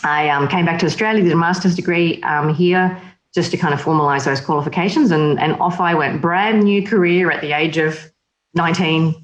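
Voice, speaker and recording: A woman from Slovakia chats as a guest on an interview show, lively and quick to laugh. Her voice sits fairly low for a woman. The room around her is echoey and open. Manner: lively and chatty, laughs often. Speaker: magazine editor, interview guest. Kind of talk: casual interview chat.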